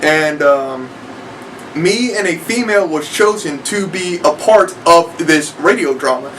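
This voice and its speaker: High-voiced young man, casual and hesitant. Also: animated, measured pace, fairly bright tone. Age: young adult. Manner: hesitant, rambling. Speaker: online reviewer and aspiring voice actor. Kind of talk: casual vlog introduction. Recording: distant mic with background noise.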